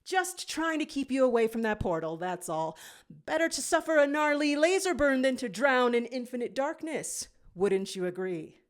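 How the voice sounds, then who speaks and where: A woman's voice: high-pitched, lively intonation, moderate pace, voice pushed up. A woman voicing a smug male canine villain, wordy, pompous and condescending, in a storybook reading. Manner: pompous, formal wording.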